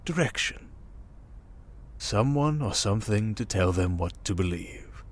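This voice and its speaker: A man playing a smug, manipulative criminal mastermind in an ASMR roleplay, calm and condescending, coaxing with endearments and soft breathy murmurs. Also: lowish voice, a little slow. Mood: persuasive, contemptuous. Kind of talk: ASMR roleplay monologue, dramatic.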